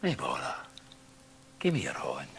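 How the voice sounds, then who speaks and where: An actor with a Scottish accent playing a sneering, violent Victorian villain in a radio drama, mocking and coarse. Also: male voice, low voice, wildly swooping pitch, measured pace, vocal fry, fairly bright tone, slight background noise, exaggerated voice. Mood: quietly menacing.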